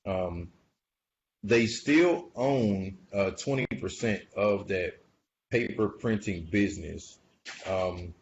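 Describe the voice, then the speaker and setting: A man with a very deep voice gives informal financial commentary. He muses hesitantly and lets phrases trail off. The recording is dull and lo-fi, with faint noise behind him. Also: takes it slowly. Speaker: investor commentator. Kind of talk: informal earnings call commentary. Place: screen-share voice-over recording.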